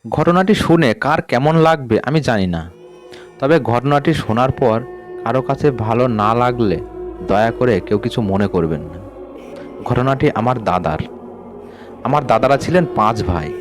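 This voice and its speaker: A man with a mid-pitched voice narrates a scripted ghost story at a measured pace. He sinks lower and quieter at the grim turns, with faint music playing under him. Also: lively intonation.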